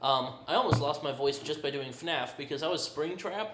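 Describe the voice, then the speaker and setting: A man co-hosting a casual live chat with dry, deadpan humor. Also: fairly high pitch, normal speed, over a noisy crowd. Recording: echoey room, loud noise and clicks.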